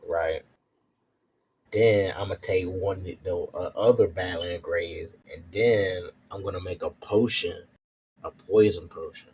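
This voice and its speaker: A man with a low voice plays a tabletop game in casual, slangy talk. He thinks aloud and repeats himself as he works through his choices. There is faint noise on the recording. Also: steady tone, unhurried, darkish tone, rough recording. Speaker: tabletop roleplay player.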